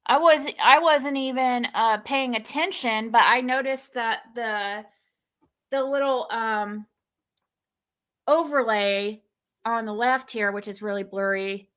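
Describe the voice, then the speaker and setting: A young woman talks on her own livestream, rambling and stopping to correct herself. Her voice sits high and sounds bright and forward. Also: takes it slowly. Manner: rambling, self-correcting, chatty. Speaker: livestream host. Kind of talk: casual livestream commentary.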